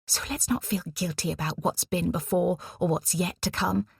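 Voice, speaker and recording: A woman's voice: lowish voice, steady tone, gravelly, slightly rough, no room sound, studio quality, heavily acted. A woman doing a bubbly, teasing young wartime nurse. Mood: exuberant. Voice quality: brisk, teasing, exuberant.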